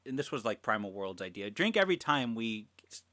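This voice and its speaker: A man's voice: lowish voice, very animated, fairly quick, bright, forceful tone, no room sound. A man hosting a livestream in casual chat, rambling and self-deprecating, with some swearing. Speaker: livestream host.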